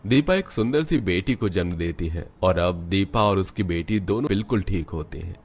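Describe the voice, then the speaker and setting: A man narrating a scripted animated family drama as a storyteller. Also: low-pitched, moderate pace.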